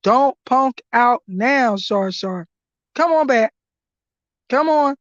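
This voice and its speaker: Brief: hoarse woman, rowdy live-stream host, higher voice, dull dry lo-fi audio. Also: expressive, slow pace, no echo. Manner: loud, laughing, profane hype commentary. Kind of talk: casual live-stream chat.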